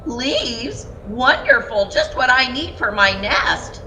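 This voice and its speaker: A woman's voice: on the high side, lively intonation, slow pace, a little hiss or hum, pitched above the performer's own voice, full character voice, low-quality recording. A woman doing an eager, grabby squirrel. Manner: bright, eager, dismissive. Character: eager, greedy young squirrel.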